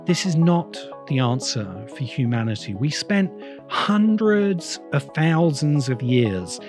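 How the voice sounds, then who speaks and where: A man delivering a reflective, semi-improvised spoken essay as a host, with deliberate, halting phrasing and emphatic repeats. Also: a little high, sing-song, music in the background. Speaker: host of a science fiction video essay podcast.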